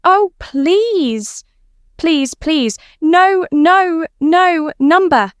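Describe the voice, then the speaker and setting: A woman's voice: very high-pitched, animated, very slow, dry recording, clean studio sound, voice pushed up, full character voice. A woman voicing a frantic man searching for his lost loved ones in a story reading. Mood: frantic, pleading.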